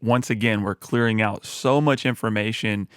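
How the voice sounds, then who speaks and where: Low-voiced man explaining studio mixing. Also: normal speed, smooth, dry recording, soft background music. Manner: relaxed, explanatory, with frequent fillers. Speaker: music mixing tutor. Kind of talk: screen-recorded mixing tutorial.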